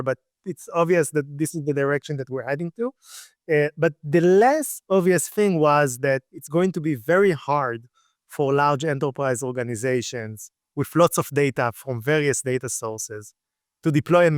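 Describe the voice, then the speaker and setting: A man's voice: on the high side, no echo, clean studio sound. Israeli-accented man, thirties, easygoing guest, clean resonant voice. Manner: relaxed, fillers and restarts, laughs lightly. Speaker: guest, startup CEO. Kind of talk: casual interview talk.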